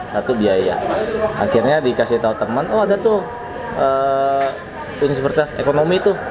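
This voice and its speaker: A man in his thirties from Sumatra, a branch manager telling his career story in a casual interview, relaxed and chuckling often with lots of fillers. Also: mid-range voice, moderate pace, very noisy. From Sumatra, Indonesia. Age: 30s.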